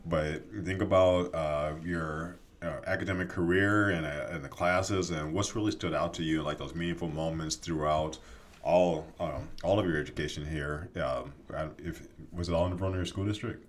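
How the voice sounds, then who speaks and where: A man's voice: sits low, fairly even intonation, normal speed. A middle-aged man, a school superintendent, interviewing students in an encouraging, conversational way and often repeating his words. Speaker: school district superintendent, host.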